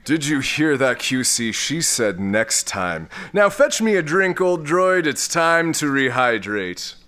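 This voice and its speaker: A man's voice: a little high, very animated, slow pace, bright, forceful tone, exaggerated voice. A man playing a bumbling, lovestruck, pompous space lord with a theatrical, stammering manner in a comic sci-fi audio drama. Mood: smug, smitten.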